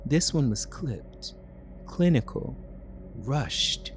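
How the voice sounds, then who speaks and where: A man's voice: mid-range voice, big pitch swings, drawn-out words, a little hiss or hum. A man giving a dramatic first-person narration of a thriller story.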